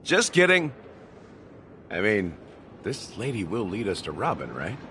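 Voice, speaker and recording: An actor playing a smug, playful young schemer in a game, teasing and theatrical. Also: male voice, lowish voice, huge pitch swings, a little slow, background noise. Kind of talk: scripted game voice acting.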